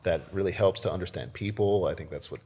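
A man with a low, deep voice talks as a guest in a casual interview. He is from South Texas, and his manner is easygoing and joking, with answers that come out haltingly. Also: darkish tone, husky. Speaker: podcast guest, winemaker.

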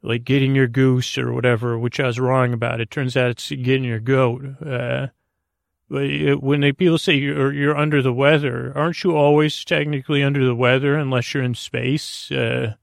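Gravelly, meandering male bedtime podcaster. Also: medium pitch, level tone, normal speed, no echo, clean studio sound. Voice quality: gravelly, creaky. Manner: meandering, hesitant, trailing off into tangents. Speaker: podcast host. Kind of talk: rambling bedtime monologue.

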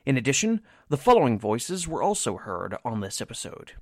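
A man's voice: medium pitch, very animated, brisk, a little mellow, slightly rough, dry recording, studio quality. A man announcing scripted radio drama credits in a formal, carefully enunciated way.

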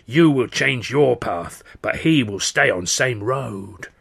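Man acting a croaking old crone. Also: mid-range voice, expressive, a bit bright, exaggerated voice. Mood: ominous. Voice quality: croaking, shrieking. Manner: slow, ominous, article-dropping delivery. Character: menacing old female fortune teller, stern crone.

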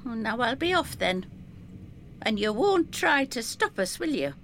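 A woman voicing a determined young woman who talks crisply and resolutely to her father. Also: a little high, big pitch swings, a little slow, slight background noise, pitched above the performer's own voice. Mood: firm.

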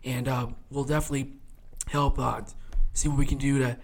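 A man's voice: medium pitch, level tone, a little fast, vocal fry. A man in his late twenties co-hosting a casual sports podcast, chatty and rambling, with plenty of fillers and frequent laughter. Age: 20s. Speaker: podcast co-host.

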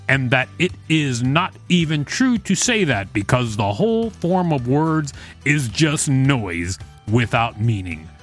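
Man, medium pitch, wry, conversational, podcast co-host, reading and bantering, music underneath. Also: a bit bright. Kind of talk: reading an encyclopedia article aloud with casual banter.

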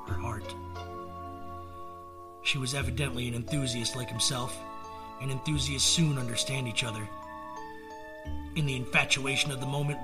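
Male storyteller, medium pitch, measured dramatic gothic reading with a clear music score. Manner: measured, theatrical. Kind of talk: dramatic narration of a gothic tale.

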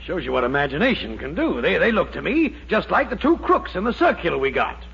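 A thin, phone-like old radio recording carries a chatty, puzzled lawman. His voice is high but very raspy and gravelly, swinging widely in comic 1940s style. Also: very animated, brisk, fairly bright tone, full character voice. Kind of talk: 1940s radio sitcom acting.

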